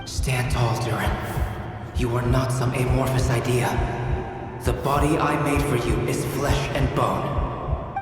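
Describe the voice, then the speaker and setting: A man voicing a composed, scholarly young mentor in a video game, articulate, measured and earnest. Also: medium pitch, fairly even intonation, music in the background, lightly acted. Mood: urgent, encouraging.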